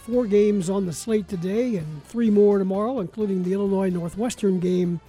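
A man's voice: sits high, moderate pace, warm tone, soft background music, low-quality recording. A man with an American accent hosting a sports talk radio show. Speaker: radio host.

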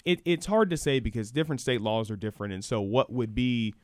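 A man's voice: mid-range voice, brisk, a little mellow, no echo, studio quality. An American man explaining college sports conversationally as a guest expert in a radio interview, emphatic and fond of hypothetical examples.

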